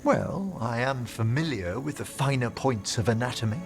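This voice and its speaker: An actor playing a courtly, fastidious older gentleman scholar in a video game, speaking tenderly in formal, precise phrases. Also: medium pitch, expressive, faint music behind, lightly acted. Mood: warm, concerned. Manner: genteel, formal, precise wording. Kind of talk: video game cutscene acting.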